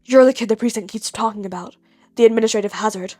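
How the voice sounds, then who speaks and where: Weary male pro hero, performed by a woman: rough, lowered voice, terse and stern, audiobook drama, dry booth. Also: fast talker, a little mellow, dry recording, faint music behind, lightly acted.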